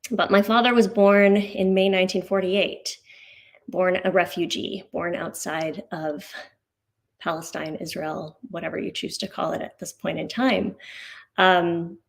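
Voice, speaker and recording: A woman from Canada, invited to speak at a community gathering. Her voice sits a little low and has a gravelly fry in it. She talks in an earnest, personal way and pauses now and then. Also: fairly even intonation. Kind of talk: personal talk at a community event.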